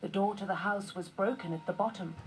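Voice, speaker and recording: A woman narrating a children's story from a script in measured phrases with short pauses. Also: mid-range voice, a little hiss or hum, poor audio. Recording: music behind the voice. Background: music.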